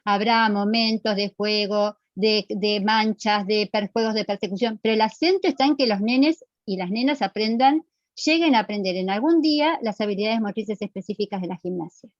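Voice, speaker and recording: A woman's voice: medium pitch, normal speed, no room sound, lo-fi sound. A middle-aged woman with a Rioplatense Argentine accent lecturing to students in a didactic, emphatic way, checking they follow with short questions. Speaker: physical education lecturer.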